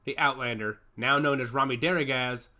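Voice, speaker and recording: A man's voice: medium pitch, moderate pace, bright, forceful tone. A man reading scripted fiction as a narrator in a dramatic storytelling style. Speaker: audiobook narrator.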